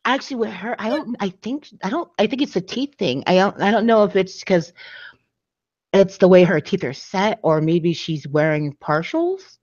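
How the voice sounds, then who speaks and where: A woman's voice: mid-range voice, normal speed, a little mellow, dry recording, dull audio. A woman co-hosting a live show, chatting casually and rambling with lots of "like" and "you know". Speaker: podcast co-host. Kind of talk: casual chat about audiobooks.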